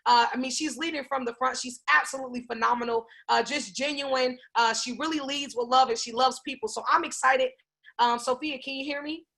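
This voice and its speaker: A woman's voice: high voice, steady tone, brisk, vocal fry, fairly bright tone. A woman hosting a video mentorship call, introducing the guest with energy and correcting herself as she goes.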